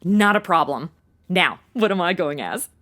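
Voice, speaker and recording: A woman's voice: fairly low pitch, expressive, moderate pace, fairly bright tone. A woman playing a slangy, blunt, sarcastic modern young woman who is a detective's sidekick in a comedy audio drama. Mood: wry, questioning.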